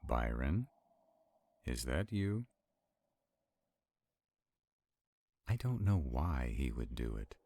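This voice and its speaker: A man playing an arrogant, stubborn vampire in a roleplay audio drama, with a deep, gravelly, husky voice and a haughty, deliberate delivery. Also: very animated, warm tone, no echo, heavily acted. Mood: commanding, defiant. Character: arrogant, stubborn male vampire, irritated and skeptical.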